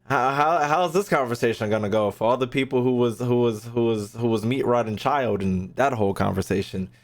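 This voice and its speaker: Casual, profane male gaming commentator. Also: fairly quick. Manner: slangy, profane, low-key interjections, repeats phrases. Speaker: gaming video host. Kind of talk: casual playthrough reaction commentary. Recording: game music behind the voice.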